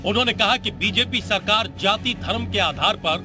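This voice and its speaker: A man's voice: high voice, moderate pace, over music. A man reading a scripted news voice-over as a television reporter.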